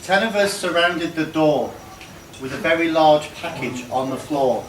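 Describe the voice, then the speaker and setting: Slow-paced man reading in echoey room. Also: a little high, animated. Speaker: party guest reading a tribute poem. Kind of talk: reading a comic rhyming poem aloud. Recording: echoey, noisy room, distant microphone.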